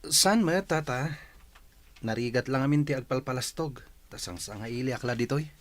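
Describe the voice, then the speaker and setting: A man's voice: mid-range voice, moderate pace, lightly acted. A man playing a polite, helpful young factory worker in a radio drama, with an Ilocano accent. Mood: polite, modest.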